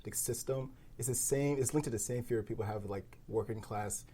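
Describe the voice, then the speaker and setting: A man's voice: mid-range voice, normal speed, dark tone, a little hiss or hum. A man hosting a podcast, talking casually in a round-table discussion. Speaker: podcast host.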